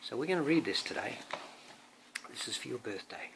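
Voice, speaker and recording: A man's voice: mid-range voice, animated, brisk, gravelly, heavy background noise. A man, a father, reading a picture book aloud to his young son, drawing out words expressively and adding chatty asides that prompt the child.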